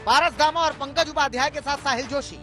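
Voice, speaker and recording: A man's voice: very high voice, a little fast, music in the background. A man reading a scripted television news report as a reporter.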